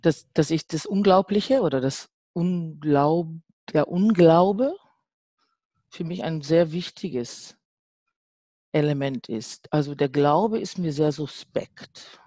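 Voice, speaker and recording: Slow, deliberate middle-aged woman, dry sound. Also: sits low, mellow, dry recording. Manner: deliberate, sceptical, pausing between phrases. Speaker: panel guest, artist. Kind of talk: reflective panel discussion.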